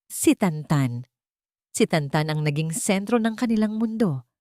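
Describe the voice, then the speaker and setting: A woman storyteller reads her own romance story in a gentle, measured, heartfelt way. Her voice sits slightly low. The sound is dry and close, with no room echo. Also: animated. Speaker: storyteller and writer. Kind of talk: scripted story narration.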